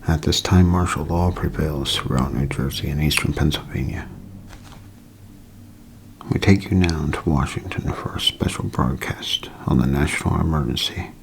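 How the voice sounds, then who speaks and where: Man playing a grave, very deep radio announcer. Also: creaky, a little hiss or hum, rough recording. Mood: grave, somber. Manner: solemn, measured, halting phrases. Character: grave male radio news announcer delivering disaster bulletin. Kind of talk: 1930s radio acting.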